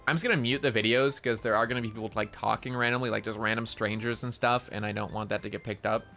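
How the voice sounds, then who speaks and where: A young man with a nasal voice, chatting loudly and excitably on a casual stream, full of slang. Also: fairly low pitch, quick pace, creaky, faint music behind.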